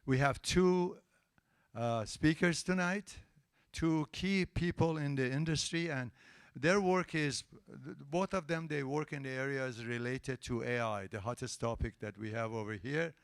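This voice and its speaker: Firm, polite meetup host with a clear voice. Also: male voice, mid-range voice, a little slow, dry recording. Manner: polite but firm, asks the crowd for quiet. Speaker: event host and interviewer. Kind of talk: hosting a live tech meetup, then interviewing. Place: event hall with a live audience.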